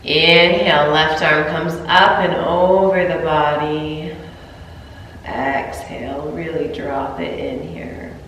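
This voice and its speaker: A woman with a sinus cold leading a gentle yoga class, her voice congested and low, calm cues broken by throat clearing. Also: slow pace, distant mic, a little hiss or hum. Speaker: yoga instructor. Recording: roomy echo, voice from afar.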